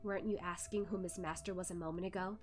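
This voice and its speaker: A woman's voice: lowish voice, fairly even intonation, fast talker, darkish tone, faint music behind, natural voice. A woman voicing a cold, composed male martial master who speaks tersely and with disdain. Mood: cold challenge.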